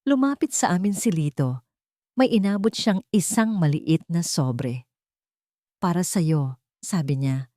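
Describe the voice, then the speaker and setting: A Filipino-accented woman narrates a dramatic first-person story in a low voice. The sound is dry, with very little room to it, and the delivery is that of a scripted audiobook. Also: animated, a little slow, warm tone, no room sound.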